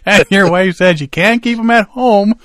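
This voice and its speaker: A man hosting a radio show, joking and teasing his co-host in casual banter, quick to laugh. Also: sits high, a bit bright. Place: studio.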